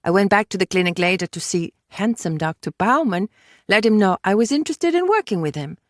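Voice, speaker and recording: Audiobook heroine, played by a woman: dangerous and self-possessed, cool, assertive delivery with wide pitch movement. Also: animated, fairly quick, no echo, studio quality, close to an everyday voice. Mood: breezy.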